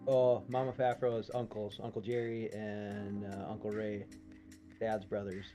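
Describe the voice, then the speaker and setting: A man's voice: lowish voice, level tone, a little slow, mellow, soft background music. Chatty man from Minnesota, easy laugher. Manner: laughs easily, chatty, trails off in asides. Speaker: trivia stream co-host. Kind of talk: casual chat.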